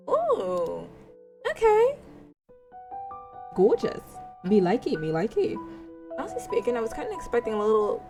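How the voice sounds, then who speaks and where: A woman's voice: a little high, mellow. A woman streamer doing casual, playful let's-play commentary and reading a visual novel aloud in an informal, self-deprecating way. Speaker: gaming streamer.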